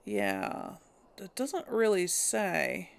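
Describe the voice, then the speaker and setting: Slow, gravelly woman thinking aloud. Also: on the low side, huge pitch swings, a little hiss or hum. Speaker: let's play host. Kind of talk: casual gameplay commentary.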